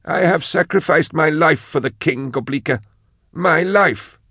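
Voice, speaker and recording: A man's voice: fairly high pitch, a little slow, bright, forceful tone, breathy edge, dry recording, studio quality, pitched above the performer's own voice, exaggerated voice. A man voicing a weary, vengeful male sorcerer locked in a cage, intense and lamenting in an audiobook. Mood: bitter. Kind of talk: audiobook character voice.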